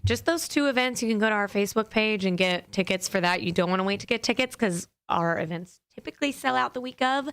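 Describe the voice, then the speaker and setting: A young woman guesting on a morning radio show, chatting in a bubbly, expressive way and laughing easily. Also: mid-range voice, fast, few pauses, smooth. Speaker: guest from a local magazine. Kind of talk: casual radio guest chat. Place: radio studio.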